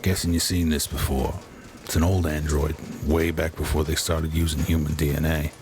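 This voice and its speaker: A weary, aging detective acted by a man grumbles in an extremely low, gruff voice. He is hard-bitten and profane, in the manner of noir radio drama, over clear recording noise. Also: little pitch movement, moderate pace. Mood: knowing. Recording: noisy amateur recording.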